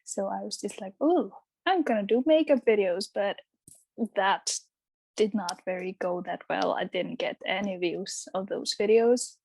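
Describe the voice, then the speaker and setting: A woman's voice: mid-range voice, unhurried, a little mellow, dry recording. Chatty young woman from Iceland. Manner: chatty, with frequent ums and restarts. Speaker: guest YouTuber. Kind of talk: casual interview conversation.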